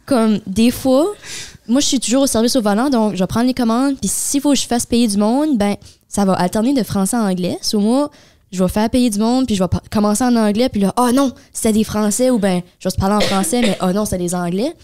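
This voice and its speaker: A woman's voice: on the high side, brisk, darkish tone. A young woman with an Acadian French accent, chatting casually on a podcast and mixing English words into her French. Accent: Acadian French, New Brunswick. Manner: mixes English words into French, many fillers. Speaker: podcast co-host. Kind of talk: casual chat.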